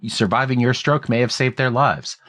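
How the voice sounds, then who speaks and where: A man hosting an interview, asking measured questions and explaining medical terms thoughtfully. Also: mid-range voice, fairly bright tone. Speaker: podcast host and stroke survivor.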